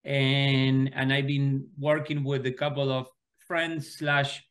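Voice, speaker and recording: A man from Argentina in his forties, answering interview questions in a relaxed, unhurried way and repeating words as he thinks. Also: medium pitch. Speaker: guest, sports club co-founder.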